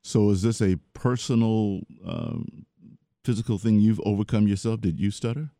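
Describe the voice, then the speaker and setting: A man's voice: on the low side, fairly even intonation, normal speed, mellow, dry recording, clean studio sound. A man hosting a radio interview, addressing his guest courteously. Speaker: radio host.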